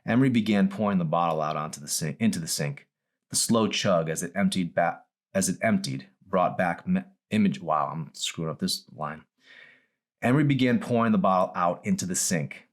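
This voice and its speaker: A man narrating his own novel as an audiobook reading. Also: low-pitched.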